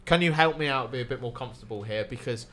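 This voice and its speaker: A young man from Wales with a southern English accent, telling sweary, animated stand-up stories on a casual podcast. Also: mid-range voice, fast talker. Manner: sweary, animated anecdotes with acted-out quotes. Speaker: stand-up comedian guest.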